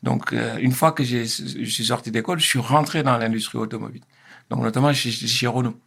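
A man in his fifties from Senegal, a guest on a talk show. His voice has a gravelly, fry-tinged edge. He answers at a measured pace, pausing and restarting often as he gathers his thoughts. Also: medium pitch, fairly even intonation, a bit bright. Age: 50s. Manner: hesitant, many fillers and restarts. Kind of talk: interview answers.

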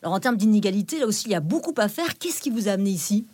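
A woman's voice: mid-range voice, big pitch swings, rapid-fire, darkish tone, no room sound. A woman hosting a podcast, reading a polished introduction and asking interview questions. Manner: polished, clear presenter delivery. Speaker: podcast host.

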